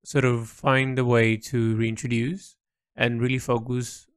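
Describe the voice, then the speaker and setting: A man recording a reflective, unscripted audio diary, thinking aloud haltingly with repeats, in a creaky voice. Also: mid-range voice, flat delivery, dry recording, studio quality. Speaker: painter recording an audio log.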